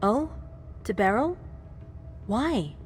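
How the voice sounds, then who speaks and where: A woman playing a guarded female vampire in a video game, speaking in a cool, pointed and deliberate way. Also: a little high, big pitch swings, exaggerated voice. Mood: guarded, deferential. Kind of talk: dramatic video game acting. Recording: music behind.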